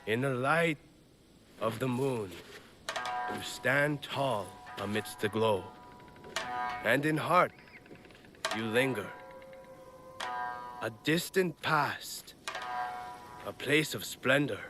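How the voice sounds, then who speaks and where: Man acting a slow, solemn feudal lord. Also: medium pitch, over music, close to an everyday voice. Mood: wistful. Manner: measured, poetic, solemn. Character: proud, grieving feudal Japanese lord, poetic and vengeful. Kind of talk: video game cutscene acting.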